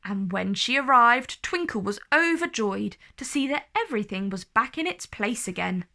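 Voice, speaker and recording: A woman storyteller reading a children's book aloud in an expressive, careful, theatrical way. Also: on the high side, moderate pace.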